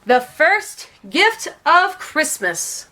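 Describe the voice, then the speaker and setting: Jolly old Santa Claus figure, female reader, storybook, grand and proclaiming, very lively intonation. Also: high-pitched, extremely slow, voice pushed up, exaggerated voice.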